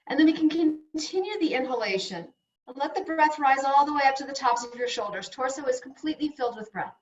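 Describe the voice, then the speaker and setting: High female voice, yoga instructor, soothing guided meditation, pauses between phrases, muffled recording. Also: expressive. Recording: dull, echoey room, distant mic.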